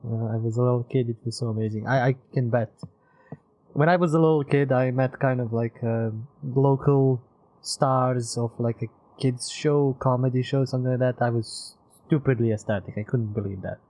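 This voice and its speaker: A man's voice: medium pitch, steady tone, moderate pace, warm tone. A man giving casual live gameplay commentary, rambling and thinking aloud. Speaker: game streamer. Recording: slightly dull streaming audio with music.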